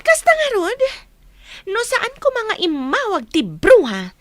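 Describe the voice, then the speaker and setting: A woman's voice: extremely high pitch, huge pitch swings, measured pace, fairly bright tone, no echo, full character voice. A woman acting in a radio drama as a scheming young mistress, pouty and gloating.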